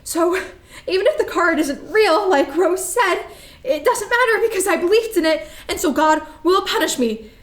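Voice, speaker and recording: A woman's voice: extremely high pitch, expressive, moderate pace, fairly bright tone. A woman acting a frantic, superstitious young woman in a staged play reading, agitated and repeating herself as she mutters. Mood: fearful, fatalistic.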